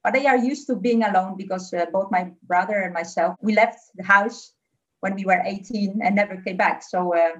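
A woman's voice: mid-range voice, normal speed, muffled recording. A young woman from Poland with a Polish accent, speaking as a guest on a podcast interview, hesitant with many fillers. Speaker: engineer guest.

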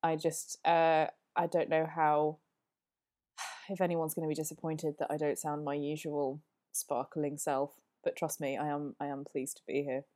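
Low, mellow woman with a cold. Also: flat delivery, moderate pace. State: has a cold. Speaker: podcast guest. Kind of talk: casual podcast chat. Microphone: remote call.

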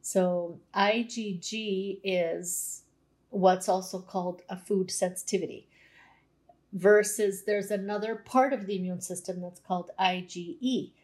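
A woman's voice: medium pitch, fairly even intonation, measured pace, darkish tone. A Canadian woman, a naturopathic doctor, explaining nutrition in a conversational interview with everyday examples.

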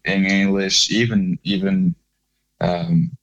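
A Norwegian man speaking English as a second language in a casual interview, often pausing to search for words. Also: low voice, steady tone, fairly bright tone, dull audio. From Norway. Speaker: guest, singer-songwriter. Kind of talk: casual conversation.

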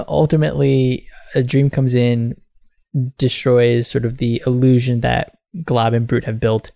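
Man, gravelly voice, dry close sound, rambling comic recap, casual podcast chat. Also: medium pitch, level tone, unhurried, warm tone, dry recording. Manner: rambling, laughs often, many restarts. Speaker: podcast co-host. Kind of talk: casual book club discussion.

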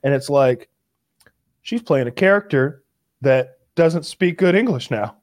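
A man's voice: fairly high pitch, lively intonation, a little slow. A man casually chatting and reacting to a video as a podcast co-host.

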